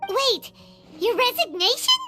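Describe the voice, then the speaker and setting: A woman voicing a tiny, childlike fairy companion in a video game, eager and curious, with a squeaky, cutesy delivery. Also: huge pitch swings, unhurried, over music, heavily acted. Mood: curious, surprised. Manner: cutesy, speaks of herself in third person.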